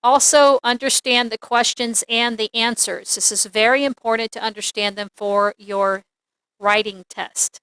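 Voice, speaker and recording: A woman teaching an English lesson, reading examples aloud in a deliberate, clearly enunciated, instructional way. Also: on the high side, steady tone, a bit bright, no echo, poor audio.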